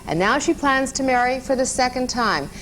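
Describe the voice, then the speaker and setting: Female TV talk show host, bright higher register, with a faint hiss in the recording. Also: animated, normal speed, rough recording. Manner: polished scripted intro, then chatty prompting questions.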